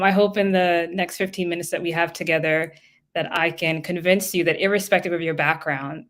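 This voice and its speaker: A woman giving a lightning talk on a video call, articulate and measured, with frequent ums. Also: fairly low pitch. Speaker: guest speaker.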